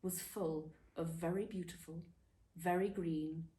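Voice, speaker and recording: A woman reading a children's book aloud as a storyteller, expressively and dramatically, with emphatic pauses and stressed words. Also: on the low side, fairly even intonation, dark tone. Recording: echoey room.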